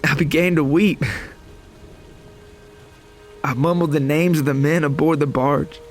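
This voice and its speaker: A haunted, shaken male survivor , acted out by a man, recounts his ordeal in first person. His delivery is grave and literary, like a dramatic horror audio story, with a slightly high pitch and music underneath. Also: steady tone, unhurried, lightly acted. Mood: numb, haunted. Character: traumatized, bloodied male survivor of a lake monster attack. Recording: music behind the voice.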